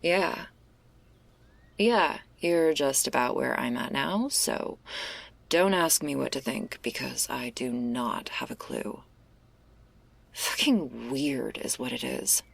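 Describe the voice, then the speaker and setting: A woman playing a laid-back, restless stoner werewolf in an intimate ASMR roleplay, husky and gravelly, confiding in a casual, hesitant, slangy way. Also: a bass voice, takes it slowly, exaggerated voice.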